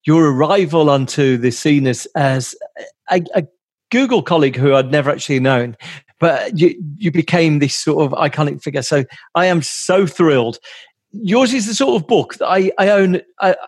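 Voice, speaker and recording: A man's voice: a little high, moderate pace, dry recording, studio quality. A man hosting a podcast in a conversational interview style, speaking in an enthusiastic, effusive way.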